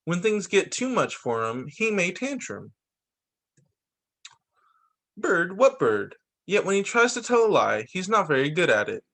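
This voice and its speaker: A male teacher reading picture books aloud to children, expressive and dropping in short spoken asides of his own. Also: high voice, a little slow, gravelly, long pauses. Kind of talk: read-aloud story time for children.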